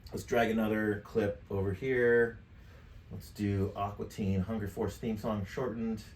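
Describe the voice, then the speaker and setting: Man, 50s, low voice, offhand tutorial host thinking aloud; roomy, slightly distant mic. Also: little pitch movement, a little slow, a little mellow. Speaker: tutorial presenter. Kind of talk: casual screen-share software tutorial. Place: indoor home studio desk.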